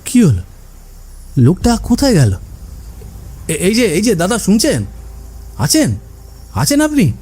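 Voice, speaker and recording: A man's voice: high-pitched, wildly swooping pitch, measured pace, pauses often, dark tone, breathy edge, exaggerated voice. A man playing a cautious, protective village husband in a horror cartoon. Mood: uneasy. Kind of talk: Bengali horror cartoon dubbing.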